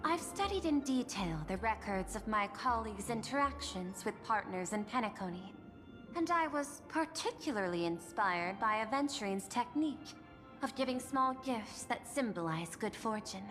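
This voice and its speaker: An actress voicing a poised, persuasive corporate executive in a video game, speaking in a polished, formal and measured way. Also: female voice, mid-range voice, expressive, big-room sound, over music, close to an everyday voice. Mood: confident, grand.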